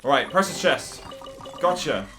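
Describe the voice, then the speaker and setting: A man's voice: a little high, sing-song, unhurried, music in the background. A young man giving casual, chatty gameplay commentary, playfully reacting aloud as he goes. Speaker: gaming video creator.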